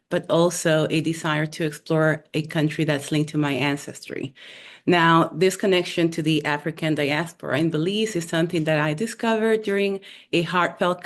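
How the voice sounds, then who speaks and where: A woman from Honduras telling a personal story over a video call, in an unhurried way with small fillers. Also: low-pitched.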